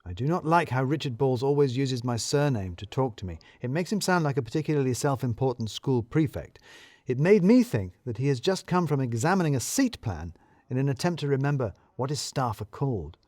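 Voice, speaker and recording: A man narrating a scripted first-person story in a wry, deadpan manner. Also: mid-range voice, a little fast, dry recording, clean studio sound.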